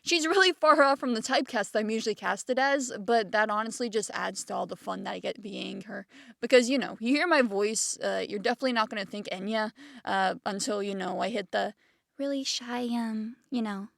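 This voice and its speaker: A woman's voice: mid-range voice, brisk, creaky, no echo, studio quality. A woman in her twenties giving a casual self-introduction as an amateur voice actor, chatty, rambling and self-deprecating. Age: 20s.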